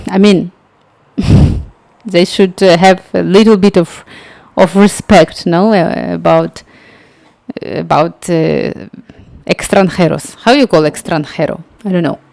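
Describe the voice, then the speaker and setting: A young woman hosting a livestream, chatting casually and telling a story with lots of filler words and halting phrasing. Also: fairly low pitch, steady tone, vocal fry, darkish tone, dry recording. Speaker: livestream tutorial host.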